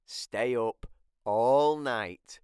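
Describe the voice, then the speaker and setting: A storybook reader, giving animated scripted narration for children. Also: medium pitch, very slow, smooth, no echo, studio quality.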